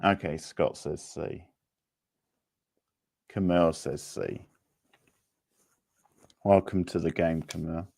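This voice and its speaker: A man hosting a casual live quiz, chatting informally with players and reading out options deliberately. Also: low voice, gravelly, darkish tone. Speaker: quiz host.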